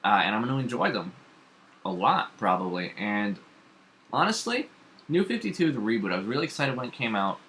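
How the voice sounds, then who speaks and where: Guy with a lowish bright voice, rambly and animated comics chat, faint hiss behind. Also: fairly quick. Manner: rambling, animated, lots of fillers and restarts. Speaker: comics podcast co-host. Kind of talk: casual chat.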